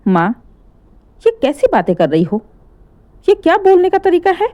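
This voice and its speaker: A woman voicing a calm young adult son who denies the accusations. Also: fairly high pitch, moderate pace, lo-fi sound, lightly acted. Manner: calm, even.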